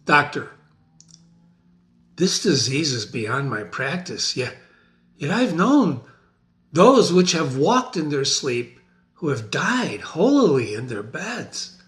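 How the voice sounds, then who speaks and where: Man as a slow, solemn, troubled physician. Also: a little high, big pitch swings, a bit bright, exaggerated voice. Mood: grave, troubled. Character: troubled, grave male physician, pious and fearful. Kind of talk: dramatic reading of a stage tragedy.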